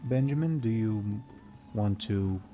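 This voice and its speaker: A man acts out an exasperated lady archmage in a tabletop game. The voice is dark and mellow, politely halting, over faint music. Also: fairly low pitch. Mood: prompting. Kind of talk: tabletop roleplay in character.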